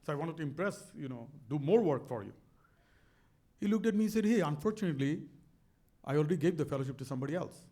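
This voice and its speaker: A man's voice: fairly high pitch, animated, fast, mellow. A middle-aged man with a Bangladeshi accent, telling a motivational story in an earnest, emphatic way, often repeating words. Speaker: speaker on a stage talk.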